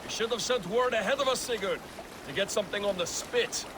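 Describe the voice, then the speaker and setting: A man as a brash, high-pitched Viking raider. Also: fairly quick, background noise, lightly acted. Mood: grumbling, eager. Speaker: game character actor. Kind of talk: cinematic video game acting. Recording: loud water and boat ambience.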